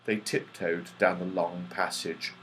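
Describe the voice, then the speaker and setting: A man reading a children's story aloud with expressive narration. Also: low-pitched, unhurried, soft background music, low-quality recording. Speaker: audiobook reader.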